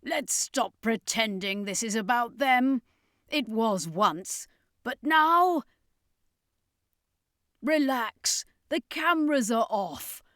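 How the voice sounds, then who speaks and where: A woman voicing a shrewd, taunting male circus master with a mocking, drawn-out, emphatic delivery in a story reading. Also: fairly high pitch, expressive, a bit bright, dry recording, clean studio sound. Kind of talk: audiobook character voice.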